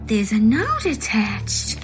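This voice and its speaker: A woman playing a blunt, deadpan policewoman in a comic audio drama. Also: fairly high pitch, takes it slowly, faint music behind, exaggerated voice. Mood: dry, blunt.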